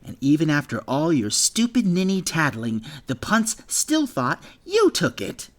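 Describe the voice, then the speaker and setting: A man's voice: a little high, wildly swooping pitch, a little slow, voice pushed up. A man reading an audiobook, voicing a sneering, menacing bully boy who taunts his victim. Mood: taunting. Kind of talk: audiobook character voice.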